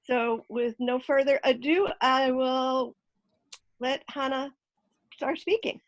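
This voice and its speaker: A woman hosting an online talk, reading out a speaker's introduction in a steady, list-like way. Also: high voice, takes it slowly, highs cut off. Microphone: video call.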